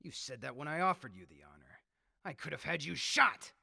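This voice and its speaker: A man's voice: a little high, expressive, fairly quick, vocal fry, bright, forceful tone, breathy edge, full character voice. A man playing a dying old tyrant leader in a radio drama, ranting, imperious and threatening. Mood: imperious, probing. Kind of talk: 1930s-style radio drama acting.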